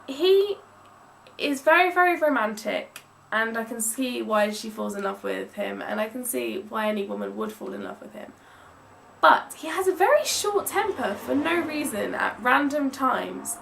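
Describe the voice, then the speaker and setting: A woman's voice: fairly high pitch, vocal fry, a bit bright. A young woman with an English accent chatting casually in a gushing, emphatic way, as in a book review vlog. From England. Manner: gushing, emphatic, with drawn-out stressed words. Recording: distant mic with some background noise.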